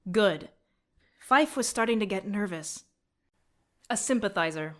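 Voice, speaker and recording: Game casting: stern female military tactician, medium pitch, sardonic, sharp-tongued, articulate, little patience. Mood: brisk, businesslike. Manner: curt, sardonic, lecturing, articulate. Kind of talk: scripted video game character acting.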